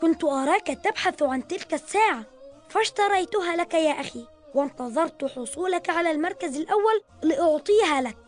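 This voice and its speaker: A dependable, caring young boy in a children's cartoon. The voice is extremely high, the delivery earnest and considerate, with faint music underneath. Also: animated, fast talker. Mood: generous, caring. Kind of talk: cartoon dialogue in formal Arabic.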